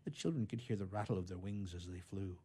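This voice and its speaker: A man reading a children's story aloud in an expressive storytelling style. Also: sits low, fast, slightly rough.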